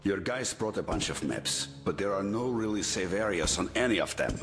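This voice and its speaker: A man voicing a weary, hard-bitten general in a video game, gruff and authoritative. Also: low-pitched, animated, normal speed, fairly bright tone, in a large hall, soft background music. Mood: grim, weary. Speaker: general in a military command. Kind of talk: dramatic video game dialogue.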